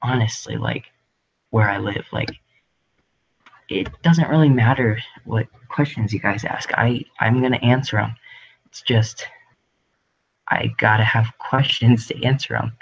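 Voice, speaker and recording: Rambling young man with a gravelly voice. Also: medium pitch, measured pace, pauses often, muffled recording, slight background noise. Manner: rambling, lots of ums and likes. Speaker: livestream host. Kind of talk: casual livestream chat. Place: indoor grow room at home.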